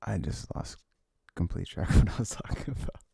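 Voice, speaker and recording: A young man talking in a casual, unscripted, intimate ASMR style, rambling and halting with a lot of "like", his gravelly voice often sinking toward a whisper. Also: expressive, dry recording, clean studio sound. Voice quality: low, gravelly, breathy, often dropping near a whisper. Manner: rambling, halting, frequent "like", soft chuckles. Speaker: ASMR audio creator playing a comforting boyfriend.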